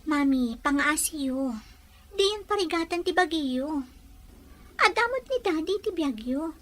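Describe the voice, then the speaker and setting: High-voiced, earnest young daughter woman acting. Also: animated, unhurried, a bit bright. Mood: pleading, worried. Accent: Ilocano. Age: young adult. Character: earnest, pleading grown daughter, soon to marry. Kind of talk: Ilocano audio drama acting.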